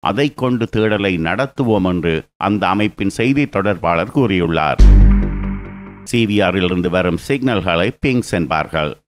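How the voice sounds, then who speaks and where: Low-pitched man narrating a news explainer.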